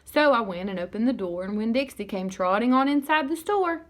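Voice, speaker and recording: A woman narrates a children's chapter book. Her voice is fairly high and clearly bright, with expressive read-aloud storytelling. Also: a little fast.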